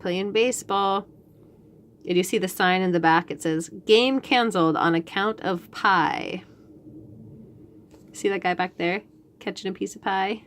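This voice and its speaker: A woman reading a picture book aloud to young children in an expressive way, with chatty asides and questions about the pictures. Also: medium pitch, slight background noise. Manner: expressive, pauses to point out pictures and ask questions. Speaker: children's read-aloud host.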